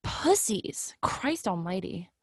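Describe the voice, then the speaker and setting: An indignant woman commuter, acted in a script reading. Her mid-pitched voice is scornful and affronted, quick to take offence. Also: sing-song, slightly rough, in a whisper, heavily acted. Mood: muttering contempt. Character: irate, indignant female ferry commuter. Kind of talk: screenplay table read.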